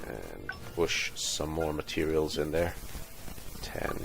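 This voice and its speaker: A man's voice: rumbling low, very animated, slow, creaky, music in the background. A man livestreaming a game, muttering commentary to himself in short fragments as he plays. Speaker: game streamer. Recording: noisy livestream mic. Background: background noise.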